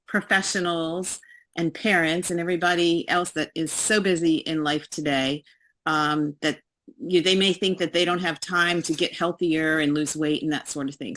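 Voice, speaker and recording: A woman's voice: lowish voice, a bit bright. A woman from Maryland, a health coach, introducing herself on a video call in a friendly, explanatory way. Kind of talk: casual self-introduction on a group call.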